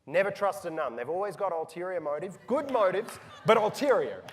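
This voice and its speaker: A man's voice: sits high, big pitch swings, brisk, a little hiss or hum, pitched above the performer's own voice. A man voicing a scheming, insistent nun in an emphatic, teasing way.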